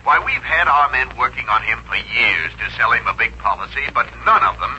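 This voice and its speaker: An actor playing a flustered, eager, persuasive personnel manager in a 1950s radio comedy. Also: male voice, medium pitch, expressive, brisk, pushed and bright. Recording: muffled, noisy vintage radio recording.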